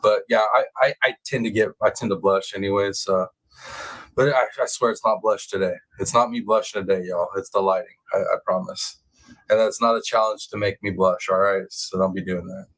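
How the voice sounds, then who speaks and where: Male livestream host, low-pitched, informal and slangy, bantering casually with chat. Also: fairly even intonation, brisk, a bit bright, poor audio. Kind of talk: casual live chat commentary.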